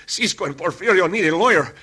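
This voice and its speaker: High, husky, pompous old Mexican lawyer. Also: male voice, expressive, fast talker, pushed and bright, full character voice. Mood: shocked, self-important. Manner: pompous, broken English. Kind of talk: old-time radio adventure acting.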